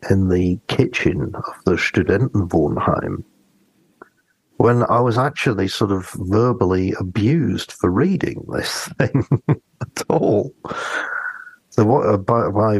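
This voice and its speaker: A man co-hosting a podcast, talking casually about books and telling personal anecdotes in a hesitant, reflective, halting way. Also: lowish voice, lively intonation, creaky, a little mellow, husky, no echo, rough recording. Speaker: podcast co-host.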